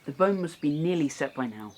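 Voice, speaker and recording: A woman's voice: low-pitched, animated, fairly quick, darkish tone. A woman playing a shy, awkward wounded young man in an audio drama, hesitant and bashful.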